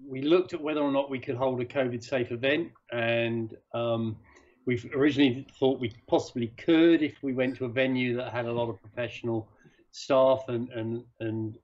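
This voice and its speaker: A man being interviewed as a sailing class chairman over a video call, talking with many hesitations and restarts. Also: mid-range voice. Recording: dull video-call audio.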